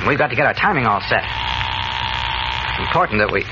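A man's voice: very deep voice, sing-song, moderate pace. An actor playing an earnest, urgent male reporter in 1940s radio drama style, eager and insistent, on a hissy, muffled old recording. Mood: uneasy.

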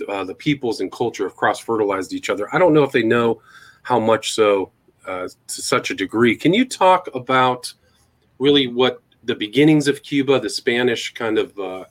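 Low-pitched man hosting an interview. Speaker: podcast host and interviewer. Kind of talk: hosting an interview, introducing a guest.

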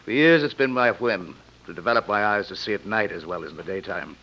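An actor playing a sinister, courteous rich villain in old radio drama, speaking quietly and formally without hurry. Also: male voice, lowish voice, a little fast. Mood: silky menace. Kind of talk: 1940s-50s radio drama acting. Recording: dull old radio recording.

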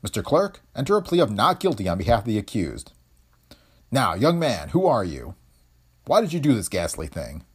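A man's voice: fairly low pitch, sing-song, slightly rough, voice pushed up. A man doing a stern, formal older judge. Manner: formal, measured.

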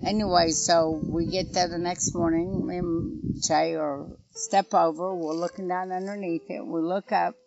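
A woman's voice: lowish voice, little pitch movement, measured pace, creaky, hardly any pauses, background noise. A middle-aged woman with a rural Oklahoma accent, recounting events first-hand in a blunt, matter-of-fact, conversational way. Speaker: interviewee, mother recounting events. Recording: muffled interview recording, music underneath.

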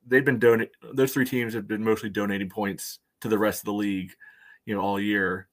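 Low-voiced man in casual sports chat. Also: little pitch movement, brisk. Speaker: co-host of a soccer fan podcast.

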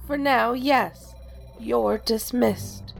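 Stern female agency chief, sci-fi audio drama, cold authority, high register with gravelly edges. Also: very slow, soft background music, exaggerated voice. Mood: icy, cutting. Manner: cold, commanding, curt. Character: stern, imperious female deputy director of a federal agency. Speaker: actor in a science fiction audio drama.

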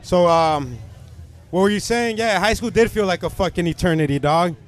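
A man's voice: high voice, normal speed. A man in his thirties chatting casually outdoors as a podcast co-host, slangy and full of swearing. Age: 30s. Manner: slangy, swearing, says bro and dog. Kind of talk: casual chat. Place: outdoors by a food truck.

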